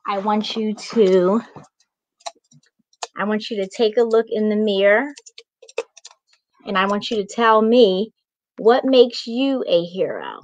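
A woman's voice: medium pitch, lively intonation, slow, pauses often, poor audio. A young woman, a children's author, reading and speaking to children in an encouraging way. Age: young adult. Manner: encouraging, addresses children directly. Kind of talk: storybook reading and talk to children. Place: small indoor room at home. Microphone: video call microphone.

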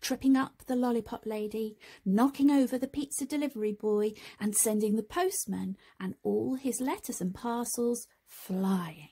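A woman narrating a children's picture book, expressive and deliberate in her phrasing. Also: on the high side, slightly rough. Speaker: storybook reader. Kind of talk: scripted children's story narration.

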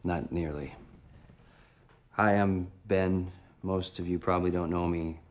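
A man's voice: sits low, fairly even intonation, unhurried. An actor in his thirties playing an earnest young playwright who declares his love in a cozy coffee shop. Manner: heartfelt, speaking at a microphone. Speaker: playwright speaking at a microphone. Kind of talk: film drama acting. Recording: film soundtrack with music underneath.

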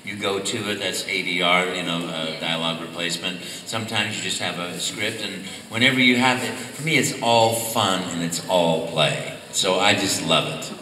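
A man's voice: low voice, moderate pace, hardly any pauses, bright, forceful tone, slight background noise. An older man on a convention panel, talking cheerfully and playfully about voice work. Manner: cheerful, bouncy, full of little exclamations. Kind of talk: casual panel talk. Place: large convention hall stage. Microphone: table mic picked up from the audience side. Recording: echoey hall recorded from far off.

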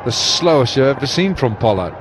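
A man giving live cricket commentary, weighing replays in a measured, slightly hesitant way. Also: medium pitch, sing-song, noisy background. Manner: measured, hesitant analysis with fillers. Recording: broadcast commentary over loud crowd.

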